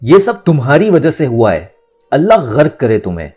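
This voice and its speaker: A man's voice: medium pitch, huge pitch swings, normal speed, a little mellow, breathy edge, faint music behind, voice pushed up. A man voicing a distraught middle-aged mother in an audio story, scolding with bitter reproaches. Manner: wailing reproaches, curses.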